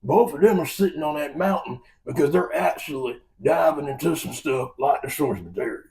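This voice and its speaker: A man's voice: on the high side, moderate pace, vocal fry, a little mellow, far from the mic, slight background noise, poor audio. An American man giving an opinionated, exasperated film review rant in a folksy, emphatic way. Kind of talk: opinionated movie rant to camera.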